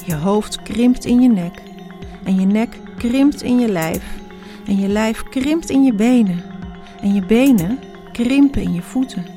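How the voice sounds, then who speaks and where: A woman's voice: medium pitch, animated, normal speed, darkish tone, lightly acted. Mean storybook husband voiced by a woman, gloating, taunting, dramatic delivery, faint music behind. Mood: gleeful menace. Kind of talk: children's storybook read-aloud.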